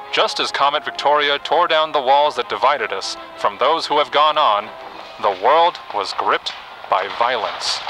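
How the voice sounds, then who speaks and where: An actor playing a solemn newsreel announcer, narrating formally and declaiming in an audio drama. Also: male voice, a little high, rough recording. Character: solemn male newsreel announcer celebrating an anniversary. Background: music.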